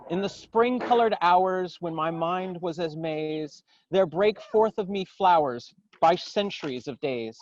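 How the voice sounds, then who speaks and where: A man gives a dramatic poetry recitation outdoors, in solemn, declamatory, measured phrases. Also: high-pitched, no room sound, dull audio. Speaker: poetry reader. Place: outdoors in a garden.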